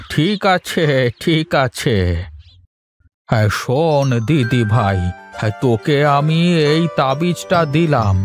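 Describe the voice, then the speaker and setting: A man voicing a frail old village grandfather in a fairy-tale cartoon, with a halting, sighing, folksy delivery. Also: fairly high pitch, expressive, soft background music. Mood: grateful.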